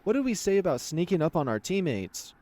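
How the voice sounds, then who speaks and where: A man's voice: sits high, big pitch swings, brisk, mellow, pitched above the performer's own voice. A man voicing an irritable, suspicious cougar mercenary from a fantasy visual novel, terse and questioning. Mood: scolding.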